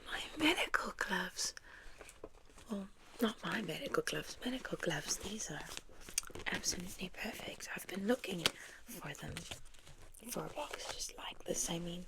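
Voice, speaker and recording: A South African woman speaking in a soft, breathy whisper as an ASMR host playing a medical examiner, gentle and playfully tongue-in-cheek. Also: lowish voice, lively intonation, unhurried, long pauses, rough recording. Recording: clearly audible background noise, clicks.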